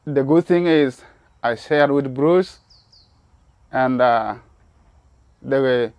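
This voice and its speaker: A man giving slow, halting, heartfelt documentary testimony about his water project. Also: medium pitch, a little mellow, smooth, dry recording.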